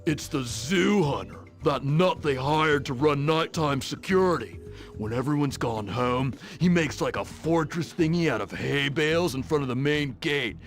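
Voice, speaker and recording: An actor playing a chatty, streetwise zoo animal in a cartoon video game, giving warnings in a casual, slangy way. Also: male voice, a little high, normal speed, faint music behind, lightly acted. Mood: confiding.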